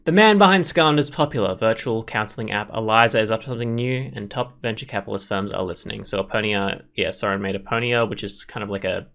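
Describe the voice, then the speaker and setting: A man's voice: fairly low pitch, fast, gravelly, hardly any pauses, fairly bright tone. A man hosting a gameplay video, reading visual novel text aloud and adding rambling, casual commentary.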